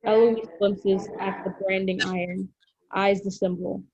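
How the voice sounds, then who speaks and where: A woman's voice: mid-range voice, little pitch movement, a little slow, a little mellow, muffled recording. A woman narrating the stage directions of a script at a table read.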